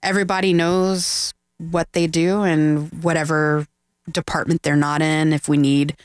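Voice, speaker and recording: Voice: medium pitch, little pitch movement, a little slow, clear, resonant tone, no room sound. A chatty, friendly filmmaker guest, talking casually in an interview. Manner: chatty, friendly, laughs easily.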